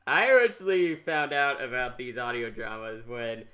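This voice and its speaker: Guy podcast host chatting casually, really bright forward voice, keeps repeating himself and rambling. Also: mid-range voice, animated, normal speed.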